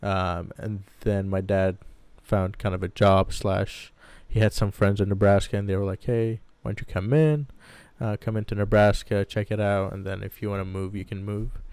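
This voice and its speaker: A man in his twenties with a fairly low, smooth voice, recorded close and dry. He talks casually about himself as a podcast co-host, in a loose way with plenty of hedging. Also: fairly even intonation, normal speed, hardly any pauses, darkish tone, dry recording. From Baghdad, Iraq. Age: 20s. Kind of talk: casual personal storytelling.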